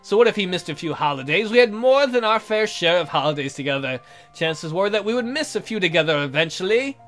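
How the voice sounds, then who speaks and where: Male game host, very high voice, theatrical reader of romance narration, music underneath. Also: lively intonation, a little fast, fairly bright tone. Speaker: gaming video host. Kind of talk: reading visual novel narration aloud.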